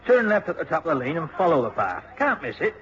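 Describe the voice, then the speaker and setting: An actor playing a friendly, obliging innkeeper with a Cornish accent and a folksy, chatty way of talking in a radio drama. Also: male voice, on the high side, sing-song, quick pace, fairly bright tone. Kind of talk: mid-century radio drama acting. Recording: dull old radio recording.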